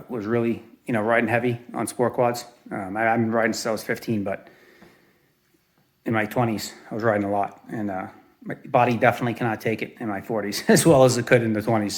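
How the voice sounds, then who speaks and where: Rambling man in his forties. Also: fairly low pitch. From southern Arizona. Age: 40s. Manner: rambling, many fillers and restarts. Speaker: vlogger reviewing his own quad. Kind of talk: casual walkaround review. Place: outdoors at his home property.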